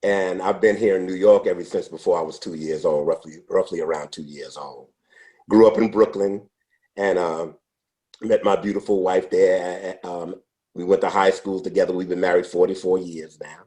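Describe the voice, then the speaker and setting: Deep, dark-voiced man in his sixties. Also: fairly even intonation. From United States. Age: 60s. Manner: unhurried, with frequent restarts and fillers. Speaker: interview guest. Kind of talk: reflective storytelling. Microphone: podcast microphone.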